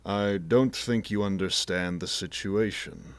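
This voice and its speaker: An actor playing an authoritative, unyielding navy admiral in an audio drama, formal and insistent. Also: male voice, low-pitched, unhurried, creaky, dry recording. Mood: firm, businesslike.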